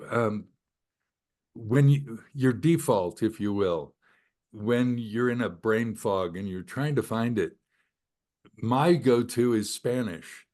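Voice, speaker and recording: A man's voice: mid-range voice, a little mellow. An older man hosting a podcast interview, asking rambling, chatty questions with plenty of fillers. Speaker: podcast host. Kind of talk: casual interview.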